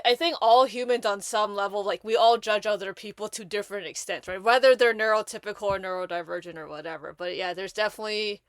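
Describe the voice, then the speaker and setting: A woman's voice: mid-range voice, smooth, no room sound. Chatty woman podcast host, dry sound. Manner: animated, rambling, many restarts and fillers. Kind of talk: casual conversation.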